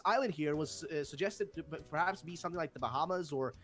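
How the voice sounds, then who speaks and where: Fast-talking Swedish-accented young man. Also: on the high side, a bit bright, soft background music. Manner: rambling, halting, many fillers and restarts. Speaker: host. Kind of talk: casual discussion.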